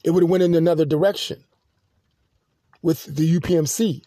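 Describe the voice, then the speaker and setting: A man hosting a podcast, telling a long personal story in a halting, rambling monologue full of restarts and fillers. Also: a little high, steady tone, dark tone, dry recording. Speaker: podcast host.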